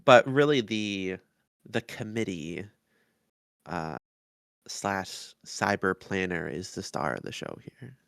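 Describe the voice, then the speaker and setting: A man's voice: low voice, lively intonation, slow, vocal fry, a bit bright, clear, resonant tone, dry recording, studio quality. A man co-hosting a podcast, casually agreeing and adding brief, laconic remarks. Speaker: podcast co-host. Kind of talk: casual discussion.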